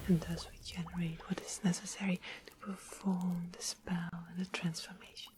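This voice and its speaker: A woman playing a sweet, mysterious witch shopkeeper in a whispered ASMR fantasy roleplay, breathy, deliberate and coaxing. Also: fairly low pitch, close to an everyday voice, poor audio. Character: sweet-smiling, secretly menacing witch shopkeeper, soothing and mysterious.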